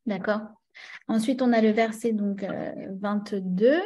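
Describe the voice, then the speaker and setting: A woman's voice: mid-range voice, steady tone, a little slow, dark tone. A woman with a French accent teaching a religious class, chatty and full of fillers and restarts, often checking in with her listeners. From France.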